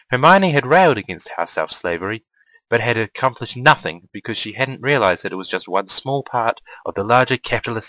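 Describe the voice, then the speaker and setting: A man's voice: medium pitch, pushed and bright, no room sound, poor audio. A man narrating a scripted first-person story.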